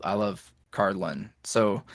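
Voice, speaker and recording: A man's voice: lowish voice, takes it slowly, no room sound, studio quality. A man who makes ASMR roleplays, chatting loosely as a podcast guest, often trailing off and laughing. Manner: loose and animated, trailing off and restarting, laughing between thoughts. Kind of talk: casual interview chat.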